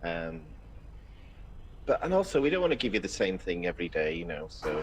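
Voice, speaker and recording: Welsh man commentating over clear background noise. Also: sits low, sing-song, fairly quick. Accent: Welsh with northern English influence. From Wales. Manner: chatty, friendly greetings to viewers. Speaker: live stream commentator and host. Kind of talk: casual live aviation commentary.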